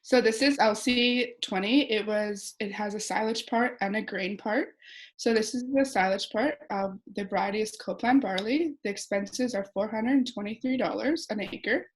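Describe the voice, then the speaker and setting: Young female student presenter, Western Canadian accent, average pitch, informal report delivery. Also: normal speed, poor audio. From Alberta, Canada. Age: young adult.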